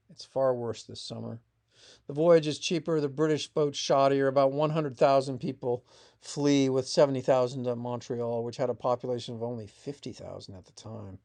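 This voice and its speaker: A man narrating a scripted piece of family history as its author, in a measured reading with emphatic phrase openings that fall away. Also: medium pitch, vocal fry, a little mellow. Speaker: author reading own essay.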